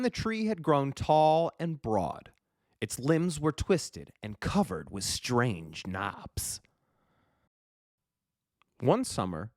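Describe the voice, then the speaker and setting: A man's voice: medium pitch, no echo, clean studio sound. A man narrating a children's storybook as a reader for kids.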